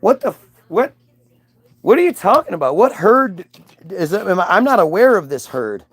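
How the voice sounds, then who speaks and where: A man's voice: high-pitched, big pitch swings, normal speed, breathy edge, no echo. A man hosting a livestream, arguing heatedly with viewers in a mocking, combative way, swearing and restarting his sentences.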